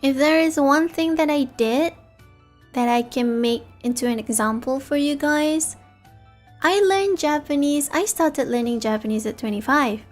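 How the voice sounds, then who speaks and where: A woman in her thirties, a native English and Malay speaker, talks to her stream viewers in a slightly high voice, tired in the small hours. She rambles and rephrases her thoughts in loose, reflective chat. Faint music plays quietly beneath her. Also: a little slow. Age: 30s. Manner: rambling, reflective, self-correcting. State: tired late at night. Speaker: VTuber streamer. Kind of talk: late-night casual chat with viewers.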